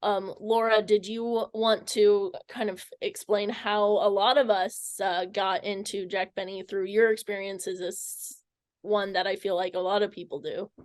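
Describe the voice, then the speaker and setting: A woman's voice: mid-range voice, level tone, measured pace, no echo. A woman, speaking conversationally as an interviewer.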